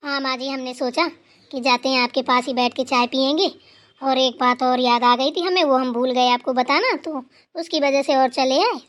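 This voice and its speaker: A woman's voice: high voice, moderate pace, fairly bright tone. A woman voicing a dutiful, anxious young daughter-in-law who talks eagerly and pleads. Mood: earnest.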